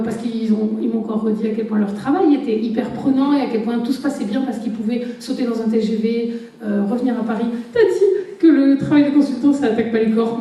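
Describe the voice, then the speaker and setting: A woman speaks on a conference panel with an emphatic, animated delivery, laughing as she argues. Her voice sits a little high and has a mellow tone. She is picked up from far off in a very echoey hall. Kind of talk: panel discussion talk.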